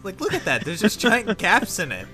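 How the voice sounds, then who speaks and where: A man's voice: high-pitched, wildly swooping pitch, normal speed, over music. A man co-commentating on a video game, bantering casually with sarcastic wit.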